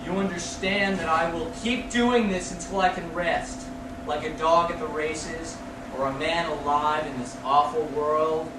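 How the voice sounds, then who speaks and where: A man reading poetry aloud as a poet, declaiming in short, deliberate phrases. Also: on the high side, steady tone. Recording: distant echoey room recording with noise.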